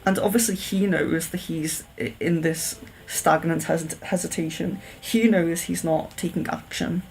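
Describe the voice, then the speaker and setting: A woman's voice: sits low, steady tone, measured pace, gravelly, a little mellow, slight background noise, poor audio. A woman giving a live-stream tarot reading, thinking aloud in a hesitant, searching way and sounding a little sniffly. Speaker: tarot reader.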